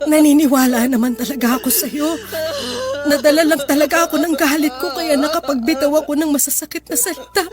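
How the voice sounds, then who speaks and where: A woman's voice: high voice, fairly even intonation, brisk, close to an everyday voice. An actress playing a loving, apologetic mother in a Filipino radio drama, speaking in a soothing, emotional way. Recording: clear music bed behind the voice.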